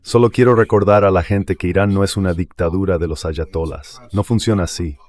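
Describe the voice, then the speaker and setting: A man's voice: low-pitched, fairly even intonation, fairly quick, a little mellow. A man speaking as a political guest commentator, explaining things in a blunt, emphatic way in a translated voice-over.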